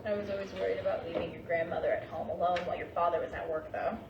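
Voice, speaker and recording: A woman's voice: fairly low pitch, fairly even intonation, rapid-fire, muffled recording. A woman playing a doting middle-aged mother in a staged play reading, insistent and motherly as she nags and reassures. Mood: wistful. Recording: echoey room, distant mic, clear hiss.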